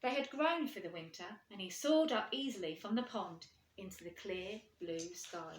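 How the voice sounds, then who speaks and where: A woman reading a storybook to children, expressive and putting questions to her young listeners. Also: mid-range voice, moderate pace, a little hiss or hum. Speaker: primary school teacher reading aloud. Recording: echoey room.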